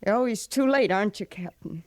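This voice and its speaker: An actress playing a bitter, wounded widowed homesteader in an old-time radio western, speaking haltingly and with intense feeling. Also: female voice, mid-range voice, expressive, close to an everyday voice. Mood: bitter, anguished. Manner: halting, emotionally intense, accusing. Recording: old radio drama recording.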